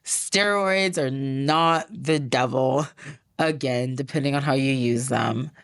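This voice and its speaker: A woman's voice: rumbling low, takes it slowly, no room sound, studio quality. A woman hosting a casual podcast, talking in a chatty, rambling way with many fillers. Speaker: podcast host. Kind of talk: casual interview talk.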